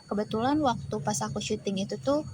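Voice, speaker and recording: A woman's voice: medium pitch, brisk, noisy background. A teenage girl from West Java, a pageant model being interviewed, talking in a loose, chatty way with lots of fillers. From West Java, Indonesia. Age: teens. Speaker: guest, teen pageant model. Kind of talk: casual interview chat.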